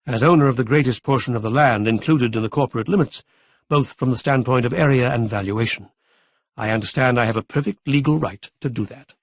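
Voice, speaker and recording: A man voicing a calm, formal young man who speaks in a measured, solemn way. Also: mid-range voice, no room sound, clean studio sound, natural voice.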